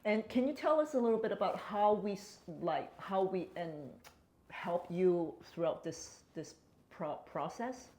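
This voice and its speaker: A woman's voice: medium pitch, a little slow, warm tone. A woman interviewing a client in an office, asking encouraging questions and often repeating words. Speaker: interviewer for consultancy. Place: consulting firm office.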